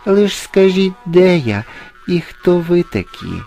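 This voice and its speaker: A man's voice: very animated, takes it slowly, mellow, muffled recording, soft background music, exaggerated voice. A man voicing an innocent, newly hatched little dragon with a thin voice and a naive, apologetic manner in a storybook tale. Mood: curious. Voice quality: thin, squeaky.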